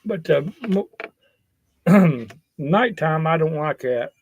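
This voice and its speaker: A man's voice: a little high, lively intonation, takes it slowly, husky. A man giving a casual, rambling safety talk in a folksy, earnest and blunt manner. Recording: dull, lo-fi sound.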